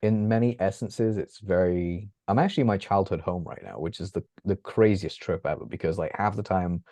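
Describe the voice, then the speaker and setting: A man, chatting as an interview guest from his bedroom at home, animated and laughing often. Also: sits low, brisk, no echo. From England. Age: 30s. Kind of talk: casual interview chat. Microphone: video call.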